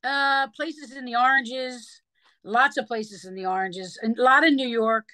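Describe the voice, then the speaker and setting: Bright, lively woman singer telling stories. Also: a little high, measured pace. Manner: animated storyteller who breaks into scat sounds. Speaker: singer and guest. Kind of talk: casual interview chat.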